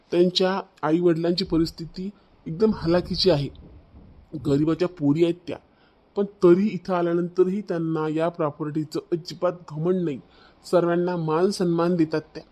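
A man's voice: on the high side, dark tone, natural voice. A man voicing an aggrieved, proud young husband in a cartoon family drama, accusing and ranting, with a Vidarbha Marathi accent. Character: aggrieved, proud young husband complaining about his wife.

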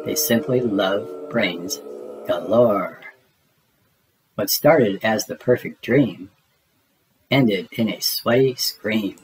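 A man reading a spooky rhyming children's story aloud in a theatrical, deliberate way, as a storybook narrator. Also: lowish voice, a little hiss or hum, rough recording.

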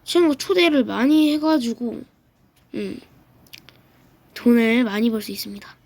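A woman with a high voice talks her way through a video game at an unhurried pace, chatty and quick to exclaim at what she sees. She is getting over a throat cold. The sound is close and dry, with almost no room around it. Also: animated, a little mellow, no echo. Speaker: gaming YouTuber. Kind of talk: casual game commentary.

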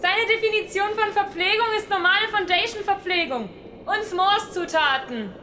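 A skeptical woman agent from an audio story, played by a man. The thin falsetto voice is extremely high and sharp. Faint noise sits in the background. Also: normal speed, full character voice. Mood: incredulous.

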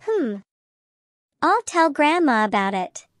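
A woman playing a little girl, high childlike voice, excitable, cartoon, dry close recording. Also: slow, no room sound, exaggerated voice. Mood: pleading. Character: little girl, eager and wheedling. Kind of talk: cartoon voice acting.

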